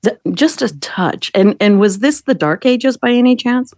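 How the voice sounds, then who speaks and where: A woman's voice: fairly high pitch, lively intonation, normal speed, a little mellow, dry recording, clean studio sound. A woman chatting casually as a podcast guest, with an amused, playful way of talking. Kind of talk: casual chat.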